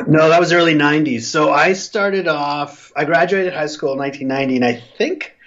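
A man's voice: fairly high pitch, a little fast, lo-fi sound. A man in his forties from Alberta, Canada, a comic artist as guest, talking casually with fillers and restarts, stuffy from a flu. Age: 40s. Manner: relaxed, rambling with fillers and restarts. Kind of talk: casual interview chat.

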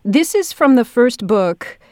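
A woman's voice: fairly high pitch, lively intonation, a little slow, darkish tone, no echo, studio quality. A woman hosting a casual interview podcast, speaking effusively and thoughtfully, feeling her way through her phrases. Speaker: podcast host, director.

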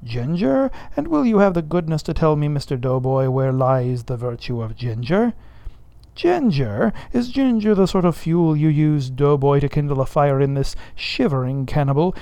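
A man reading aloud and voicing a blustering, indignant ship's mate who fires off loud, sputtering questions. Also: medium pitch, animated, moderate pace, gravelly, few pauses, no echo. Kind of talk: audiobook character voice.

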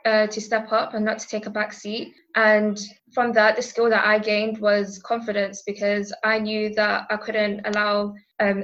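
A woman's voice: mid-range voice, a bit bright, dull audio. A teenage schoolgirl in a recorded testimonial, speaking earnestly and carefully with some hesitations. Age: teens. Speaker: school pupil.

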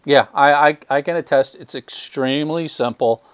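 A man's voice: on the high side, normal speed, dry recording. A man hosting a casual podcast interview, asking long questions with stammered restarts. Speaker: podcast host.

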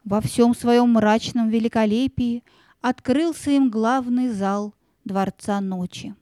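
A woman narrator tells a scripted fairy tale. Her voice is soft-toned and a little high, in a dry, close recording. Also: fairly even intonation, takes it slowly, no echo.

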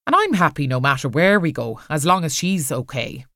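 A woman's voice: sits low, big pitch swings, fairly quick, fairly bright tone, no room sound, clean studio sound, heavily acted. A woman reading a storybook, voicing a devoted, earnest man who speaks protectively and kindly. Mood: earnest, caring. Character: devoted, happy, reassuring man, protective of partner.

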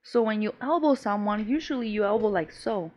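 A woman's voice: medium pitch, fairly quick, a little mellow. A woman co-hosting a podcast in casual chat, with giggly, crude banter. English is not her first language. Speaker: podcast co-host.

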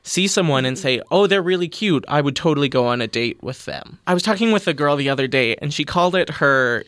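A man's voice: a little high, fairly quick, clear, resonant tone, no echo. A young man with a slight lisp, talking earnestly and expressively in a casual panel discussion. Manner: expressive, animated, explaining earnestly. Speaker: panel guest.

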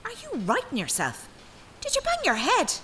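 An actress playing an anxious, indignant young student daughter in a radio drama, pleading urgently in an Irish accent. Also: female voice, very high voice, wildly swooping pitch, normal speed, a bit bright, slight background noise, full character voice. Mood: panicked, urgent. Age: young adult. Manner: pleading, urgent, repeating herself.

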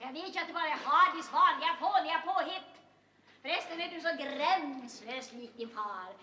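Fretful sick mother in old 50s stage drama, piercing high voice, roomy far-off hissy recording. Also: pushed and bright. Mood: scornful, reproachful. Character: ailing mother, fretful, reproachful, evasive, in a family tragedy. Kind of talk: 1950s theatrical drama acting. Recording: echoey, distant, hissy 1950s stage-play recording.

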